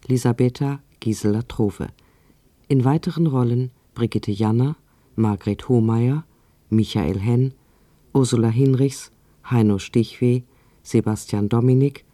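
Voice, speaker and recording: A man's voice: medium pitch, warm tone, no room sound. A man reading scripted credits as a radio announcer.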